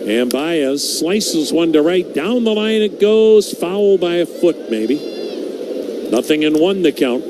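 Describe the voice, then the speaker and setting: A man calling a baseball game pitch by pitch on the radio, giving the count and the score in a measured way. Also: expressive. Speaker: radio play-by-play announcer. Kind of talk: baseball play-by-play commentary. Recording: noisy broadcast audio.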